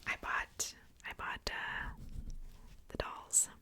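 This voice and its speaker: A woman speaking in a soft, breathy whisper, chatting casually and unhurriedly in ASMR style close to a sensitive microphone. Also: slow pace. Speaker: ASMR video creator.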